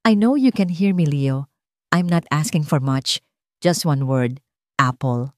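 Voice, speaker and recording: A woman narrator plays a clinical, cold psychiatrist in his late forties for an audio story. He speaks in measured, emotionless phrases and calmly repeats his instructions. The pitch sits on the low side for a woman, with a dry, close sound. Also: no echo. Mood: calm, insistent. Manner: calm, emotionless, measured, repetitive.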